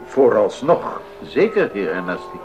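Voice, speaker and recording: A man's voice: fairly low pitch, big pitch swings, unhurried, darkish tone, dull audio, faint music behind. A man playing a polite, self-possessed composer applying for a post in a radio play. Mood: polite, reserved. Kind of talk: 1980s Dutch radio play acting.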